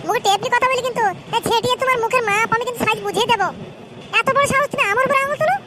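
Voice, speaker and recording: A woman's voice: very high voice, rapid-fire, sharp tone, no echo. A woman voicing a cheeky, foul-mouthed, lovelorn young son in a comedy cartoon, brash, quarrelsome and whining. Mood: brash, insolent.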